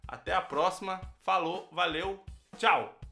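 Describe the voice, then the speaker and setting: A man's voice: high-pitched, a little slow, creaky, music in the background. A man with a Brazilian accent giving an informal recorded message as a guest podcaster.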